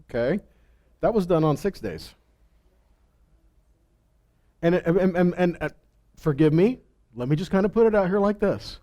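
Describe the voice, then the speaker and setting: A man's voice: high voice, expressive, a little slow, pauses often, warm tone. A man giving an informal sermon as a Bible teacher, reading verses and commenting conversationally with rhetorical questions and imagined replies.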